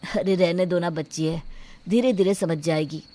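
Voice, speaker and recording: A woman's voice: lowish voice, normal speed, dark tone, close to an everyday voice. A woman voicing an evasive young fiancé in a story drama, placating and dismissive in manner. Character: evasive young fiancé, indulgent brother and dutiful son. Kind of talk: dramatised Hindi moral story acting.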